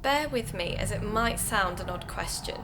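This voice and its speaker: A woman acting as a British amateur investigator narrating her audio drama podcast. She is observant and confiding, with a conversational delivery and some vocal fry at a middle pitch. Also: expressive, normal speed, noisy background, lightly acted. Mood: polite, inquiring. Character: Gemma, keen amateur investigator podcast host, chatty woman.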